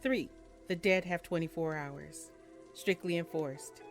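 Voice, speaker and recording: Slow, low-pitched woman reading game text. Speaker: video game streamer. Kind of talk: casual let's-play, reading on-screen game text aloud. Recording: music playing behind the voice. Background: game music.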